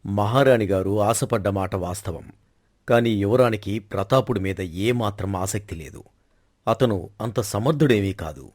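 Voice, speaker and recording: Man playing a proud, low-voiced king. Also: unhurried, darkish tone, dry recording, studio quality. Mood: commanding, decisive. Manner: formal, stately, declarative. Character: proud, commanding middle-aged king, wry with his queen. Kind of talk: scripted Telugu moral-story dramatization.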